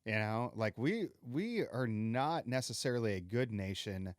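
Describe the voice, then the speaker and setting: A male podcast host chats frankly and agreeably, swearing casually now and then. His voice sits at an ordinary mid pitch. The sound is dry and close. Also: animated, measured pace, no echo. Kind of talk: casual conversation.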